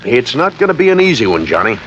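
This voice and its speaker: A man's voice: medium pitch, sing-song, quick pace, fairly bright tone. An American actor playing a chatty, folksy insurance company man in an old-time radio drama. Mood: sober. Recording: dull vintage radio recording, faint noise.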